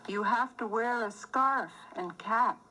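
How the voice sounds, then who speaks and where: A woman reading a rhyming children's storybook aloud, phrasing it deliberately and clearly as scripted narration. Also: a little high, bright, forceful tone, poor audio. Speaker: storybook reader.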